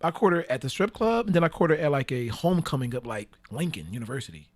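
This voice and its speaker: A man's voice: mid-range voice, very animated, quick pace. A man speaking as a podcast guest, telling crude, joking stories in casual conversation. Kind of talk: casual bawdy storytelling.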